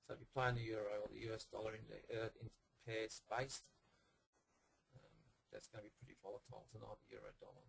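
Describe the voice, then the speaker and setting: A man with a hoarse voice he says he is losing, presenting a live forex trading room and talking through charts with many fillers. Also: lowish voice, little pitch movement, a bit bright, a little hiss or hum, poor audio. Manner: halting, with many fillers and pointing phrases. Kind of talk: live chart analysis talk.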